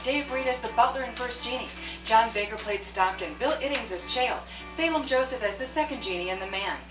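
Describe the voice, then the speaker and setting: Lady radio announcer reading credits, music behind, bit far off and echoey. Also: fairly high pitch. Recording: echoey distant live recording with music.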